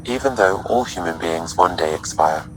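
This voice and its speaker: A man voicing a calm male ship AI that speaks in an even, measured, analytical way. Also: sits low, crowd noise, low-quality recording. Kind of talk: video game voice acting.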